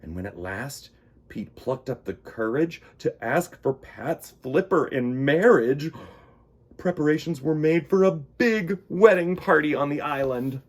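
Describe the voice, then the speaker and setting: A man hosting a children's storytime, reading picture books and chatting in simple, expressive, conversational language. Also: a little high, unhurried. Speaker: host of a children's storytime show.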